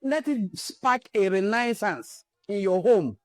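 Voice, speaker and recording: A woman's voice: mid-range voice, lively intonation, slow pace, no echo, clean studio sound. A Nigerian woman hosting a cultural channel, delivering an impassioned address built on repeated rallying calls. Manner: rallying, repetitive exhortations.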